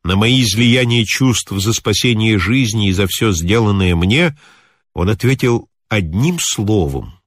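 A man narrating a literary tale as an audiobook reader, in an expressive storytelling style. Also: mid-range voice, husky, no echo, studio quality.

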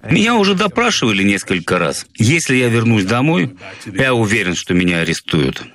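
A man's voice: on the low side, very animated, a little fast, a bit bright. A man voicing an outspoken American expatriate blogger in an emphatic, conversational interview voice-over. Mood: firm, defiant.

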